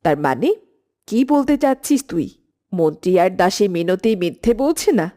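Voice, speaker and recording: A woman's voice: lowish voice, big pitch swings, moderate pace, dark tone. A woman voicing a stern, regal queen mother in a cartoon, speaking in a formal, authoritative manner. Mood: stern, questioning.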